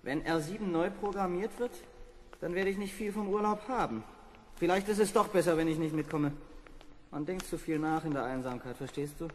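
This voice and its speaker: High-voiced astronaut played by a man. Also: fairly quick, darkish tone, lightly acted. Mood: thoughtful. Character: easygoing male astronaut fond of his robot. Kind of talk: German radio play acting. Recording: reverberant radio play with background noise.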